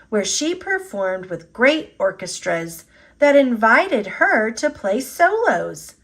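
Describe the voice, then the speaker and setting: Slow, very animated woman reading a storybook. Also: a little high. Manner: expressive, deliberate storybook delivery. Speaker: storyteller reading a picture book aloud. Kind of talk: scripted narration, children's read-aloud.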